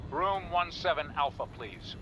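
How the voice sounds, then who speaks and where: A businesslike agency man in a video game, slightly high in pitch. He is clipped and official over clear rumbling noise. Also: animated, measured pace. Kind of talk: video game cutscene acting.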